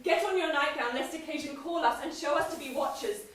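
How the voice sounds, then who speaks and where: A woman's voice: sits high, a little fast, very noisy, close to an everyday voice. An actress playing a ruthless noblewoman spurring her husband on, delivering intense Shakespearean verse on stage, recorded from far off in an echoey hall. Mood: urgent. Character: ruthless scheming noblewoman, murderer's wife. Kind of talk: stage play performance. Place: theatre stage in a large hall. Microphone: distant room mic.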